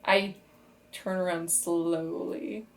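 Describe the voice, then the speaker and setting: A woman's voice: on the low side, fairly even intonation, slow, creaky. A woman speaking casually as a player in a tabletop game, improvising her character's words and actions. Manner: informal, conversational.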